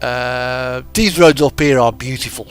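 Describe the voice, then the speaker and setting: A man's voice: medium pitch, a little slow, smooth. A man streaming a truck driving game, giving easygoing, rambling casual commentary and chatting to viewers. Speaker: livestreamer playing a truck driving simulator. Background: background music and game truck engine.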